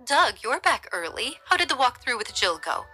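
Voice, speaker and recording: Woman doing an anxious, caring middle-aged wife, pleading and bright-voiced. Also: big pitch swings, normal speed, breathy edge, soft background music, heavily acted. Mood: worried. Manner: pleading, higher and gentle.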